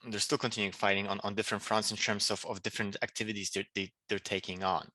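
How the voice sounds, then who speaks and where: A man from Poland with a Polish accent, answering interview questions in a halting way with many restarts. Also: fairly low pitch, little pitch movement, sharp tone, dry recording. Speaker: political candidate.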